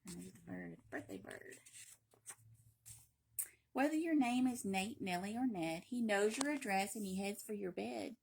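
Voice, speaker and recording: A woman reading a children's picture book aloud in an animated, playful, emphatic way, with brief asides. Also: medium pitch, a little slow, vocal fry, pauses often, a little hiss or hum. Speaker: library read-aloud reader.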